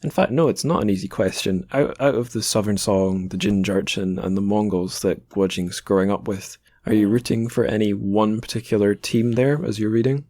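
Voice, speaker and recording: A man's voice: on the low side, normal speed, hardly any pauses, mellow, dry recording. A man hosting a podcast interview in a casual, informal way, thinking aloud with some hesitation. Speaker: podcast host.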